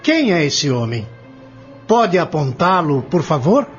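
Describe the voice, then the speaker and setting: Casting: male actor, accusing prosecutor for radio play, formal and emphatic, very animated delivery; dull recording with music behind. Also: fairly high pitch, takes it slowly. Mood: commanding. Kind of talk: audio drama acting. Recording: muffled lo-fi audio with music.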